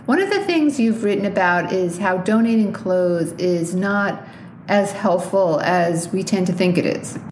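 A woman hosting a podcast, who asks clear questions and reads a measured outro. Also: mid-range voice, a little mellow, a little hiss or hum. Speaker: podcast host. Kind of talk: interview and scripted outro.

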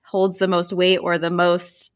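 A woman's voice: on the low side, monotone, unhurried. A woman co-hosting a librarians' podcast, chatting casually with a giggly, chatty manner. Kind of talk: casual group chat.

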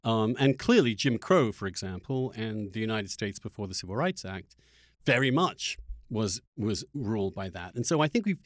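A man's voice: fairly low pitch, animated, no room sound, clean studio sound. A man hosting an interview, talking through political ideas in an articulate, argumentative way, often restarting as he searches for words. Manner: halting, searching for words with repeated starts. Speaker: interviewer, podcast host. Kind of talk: conversational political discussion.

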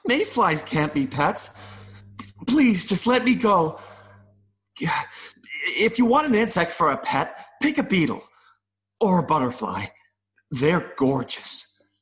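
A man playing an adult male mayfly in an audio play, nervous and earnest, pleading haltingly with little buzzing sounds. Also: high voice, big pitch swings, fairly bright tone, heavily acted. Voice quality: buzzing interjections between phrases.

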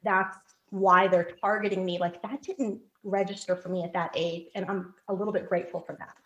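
A woman guest in an interview, telling lively personal stories with frequent restarts. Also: medium pitch, a little fast, muffled recording. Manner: animated storyteller, frequent restarts. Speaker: podcast guest. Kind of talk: conversational interview.

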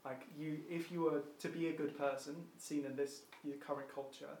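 A man's voice: on the high side, flat delivery, moderate pace, a little mellow, slight background noise. A man thinking aloud in a group discussion, hesitant, with many fillers and restarts. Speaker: discussion participant. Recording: roomy echo.

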